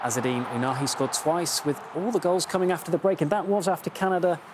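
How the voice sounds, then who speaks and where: A presenter reads a scripted sports news report. The delivery is brisk and informative, with a mid-pitched voice. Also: noisy background.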